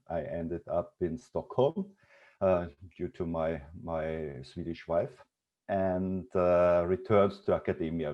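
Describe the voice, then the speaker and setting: Very low-voiced man from Austria, hesitant researcher, dull lo-fi call audio. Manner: hesitant, many fillers, clears throat. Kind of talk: self-introduction in an online talk. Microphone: video call mic.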